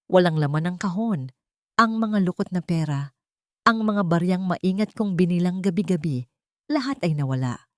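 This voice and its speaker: A woman with a Filipino accent reading a dramatic first-person story in an emotional, measured way with pauses. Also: lowish voice, a little mellow, dry recording, studio quality. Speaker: audiobook narrator.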